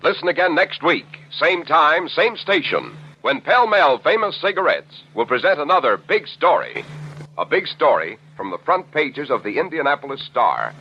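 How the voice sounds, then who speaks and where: A man's voice: a little high, sing-song, moderate pace. A man announcing in a dramatic, emphatic 1940s radio promo style. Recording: dull, very noisy old radio recording.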